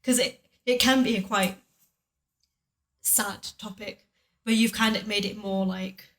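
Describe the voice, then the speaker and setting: A woman's voice: mid-range voice, steady tone, measured pace, a bit bright. A woman chatting casually in a commentary, giving frank personal opinions with lots of "like" and "personally". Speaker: co-host and partner in commentary.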